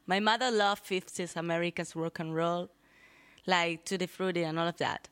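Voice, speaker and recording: Argentine-born woman, kinda low voice, halting english, laughs a lot. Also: steady tone, fairly bright tone, clean tone. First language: Spanish. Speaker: guest. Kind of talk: casual chat.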